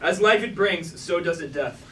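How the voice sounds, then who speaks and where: A man as a bright, high-voiced dramatic chorus member. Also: a little hiss or hum. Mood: solemn, dramatic. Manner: declamatory, deliberate verse delivery. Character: dramatic male Greek tragedy chorus member proclaiming robot legend. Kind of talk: staged reading of verse tragedy. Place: indoor room at a live staged reading. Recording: echoey room from far off.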